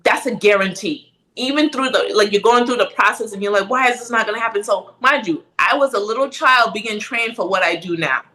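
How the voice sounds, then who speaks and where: Middle-aged woman from Ghana, podcast guest, animated and conversational, with a bright tone. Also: mid-range voice, a little fast, rough recording. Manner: animated, frequent "right?" tag questions. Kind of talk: casual interview chat.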